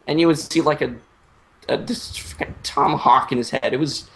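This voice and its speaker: A young man improvising a comic story in a casual, halting, rambling way with crude slang. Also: fairly high pitch, steady tone, a bit bright, a little hiss or hum, poor audio.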